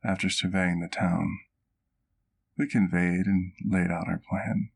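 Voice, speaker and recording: Male actor as vampire doctor, deep pitch, vocal fry, gentle, doting, wistful, formal measured storytelling, ASMR roleplay. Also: steady tone, exaggerated voice. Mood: reflective.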